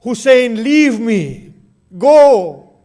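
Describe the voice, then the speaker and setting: A man doing a loyal warrior at the point of death in a recited tragedy, his voice high but faint and sorrowful. He pleads slowly, each phrase weighed down with grief. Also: darkish tone. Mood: dying faint. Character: dying young male warrior, loyal brother.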